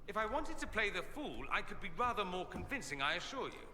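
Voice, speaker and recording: A man's voice: high-pitched, big pitch swings, brisk, sharp tone, a little hiss or hum, heavily acted. Witty, theatrical nobleman, swooping and echoing. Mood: dry, sardonic. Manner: arch, theatrical, dryly sardonic. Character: witty, proud, wounded young nobleman mage. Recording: reverberant game dialogue with background ambience.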